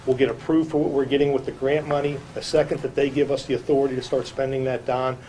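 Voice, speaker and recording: A man speaking as a police chief, explaining details formally in a halting, long-winded way at a public meeting. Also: mid-range voice, little pitch movement, mellow, low-quality recording. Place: indoor public council meeting. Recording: clear background noise, room ambience.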